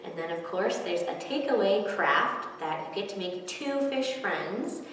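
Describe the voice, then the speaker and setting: A woman's voice: mid-range voice, expressive, unhurried, fairly bright tone. A woman in her thirties presenting and reading picture books aloud to children. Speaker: children's library storyteller. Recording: echoey hall, distant mic, background noise.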